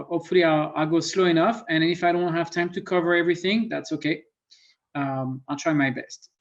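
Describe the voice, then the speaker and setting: A man with a French accent, a native French speaker, giving an online science presentation with many fillers and pauses. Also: on the high side. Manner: thoughtful, self-deprecating, many fillers. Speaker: guest lecturer. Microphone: computer mic on a video call. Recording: muffled lo-fi call audio.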